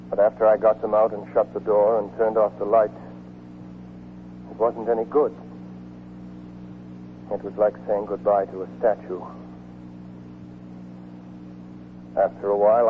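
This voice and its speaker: A man's voice: on the low side, a little hiss or hum, close to an everyday voice. An actor playing a grieving, devoted man telling of his lover's death in a 1940s radio drama. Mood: numb grief. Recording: tinny, muffled 1940s radio broadcast.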